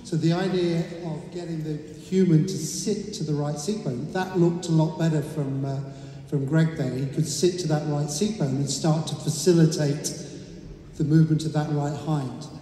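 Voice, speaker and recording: A man's voice: on the high side, fairly even intonation, normal speed, mellow, slight background noise. A man giving an explanatory talk at a convention, citing figures, in a large indoor arena. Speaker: convention speaker on rider fitness. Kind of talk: lecture to an audience. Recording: roomy hall reverb.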